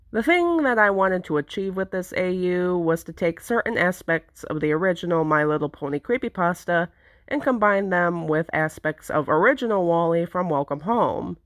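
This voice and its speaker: Lower-voiced woman narrating, dry close sound. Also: lively intonation, moderate pace, no echo. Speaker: narrator presenting own fan project. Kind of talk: scripted narration to viewers.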